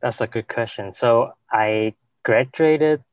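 A young man from Hong Kong, answering interview questions with many pauses, fillers and restarts. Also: medium pitch, clear, resonant tone, dry recording. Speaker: guest, venture capital investor.

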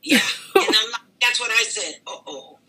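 An older woman, a singer, telling a story in an interview with lively, dramatic delivery and acted-out quotes. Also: on the high side, normal speed, a crowd in the background. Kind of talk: storytelling in a conversation.

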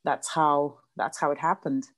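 Latvian woman, 30s, deep voice, halting interview guest. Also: fairly even intonation. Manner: hesitant, many fillers and restarts, laughs often. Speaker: guest, association president. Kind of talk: casual interview.

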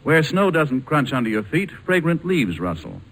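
An American man announcing on 1940s radio, reading scripted commercial narration in a measured, lyrical manner. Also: a little high, sing-song, a bit bright, slight background noise. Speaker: radio announcer and sponsor spokesman.

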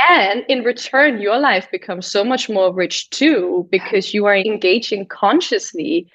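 A woman from Denmark whose first language is Danish, speaking as a guest in an animated, expressive conversation. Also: unhurried, dry recording. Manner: animated and expressive, pitch rising on key words. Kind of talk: casual conversation. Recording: slightly dull lo-fi sound.

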